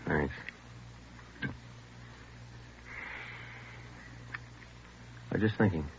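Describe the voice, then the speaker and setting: An actor playing an unflappable, matter-of-fact police detective in clipped 1940s radio procedural style. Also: male voice, sits low, racing, a little hiss or hum, lightly acted. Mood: relaxed, dry. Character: terse, unflappable male police detective narrating his case. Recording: muffled old radio recording with music.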